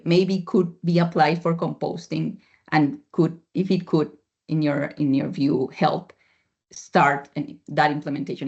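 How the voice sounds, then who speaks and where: A moderator of an online panel asks long framing questions, speaking with many fillers and restarts. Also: medium pitch. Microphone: video call. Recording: muffled lo-fi video call audio.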